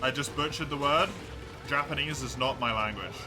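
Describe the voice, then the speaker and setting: A man's voice: medium pitch, moderate pace. An English man chatting casually with his live-stream viewers, laughing often, mocking himself and swearing easily. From England. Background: music.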